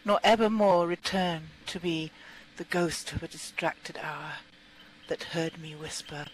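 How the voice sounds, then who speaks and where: A woman reading poetry aloud in an expressive, measured and dramatic manner. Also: low voice, rough recording. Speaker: poetry reader.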